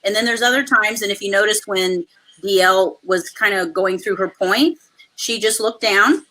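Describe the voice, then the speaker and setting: A woman hosting a live webinar, talking through a video call. Her voice is loud and carries, with a bright, forceful edge. She coaches in an energetic, chatty way. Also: mid-range voice, normal speed, poor audio. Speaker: host.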